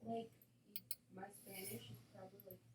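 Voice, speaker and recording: A woman's voice: medium pitch, flat delivery, moderate pace. Young female student, distant in an echoey room. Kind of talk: casual chat. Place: classroom, far from the mic. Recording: distant, echoey classroom with faint noise.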